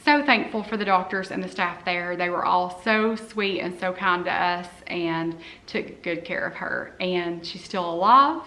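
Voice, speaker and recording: A woman's voice: on the low side, measured pace, few pauses, pushed and bright. A woman in her forties talking casually to camera as a vlogger, earnest and heartfelt, rambling with frequent "um"s. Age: 40s. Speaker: cleaning vlogger.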